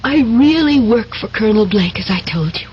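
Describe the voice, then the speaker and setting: A woman's voice: on the high side, lively intonation, moderate pace, lightly acted. An actress playing an earnest, insistent woman double agent in a 1970s radio drama. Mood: urgent, pleading. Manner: urgent, insistent. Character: earnest, pleading femme fatale double agent. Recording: muffled, noisy old radio drama recording.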